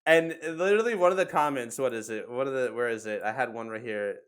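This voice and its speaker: A man's voice: mid-range voice, quick pace. A man hosting a casual podcast, bantering and commenting in a sarcastic, profane way. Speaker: podcast host.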